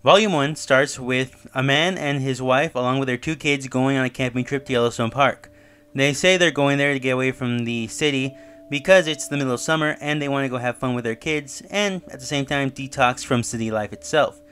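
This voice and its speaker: A man's voice: mid-range voice, a little fast, fairly bright tone, smooth. A man hosting his own channel, casually recapping and reviewing a horror web series, talking loosely with fillers and self-corrections.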